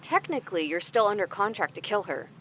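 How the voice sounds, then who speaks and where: A man's voice: high voice, big pitch swings, a little fast, fairly bright tone, a little hiss or hum. A man playing an insistent undercover corporate client in an audio drama, demanding and exasperated.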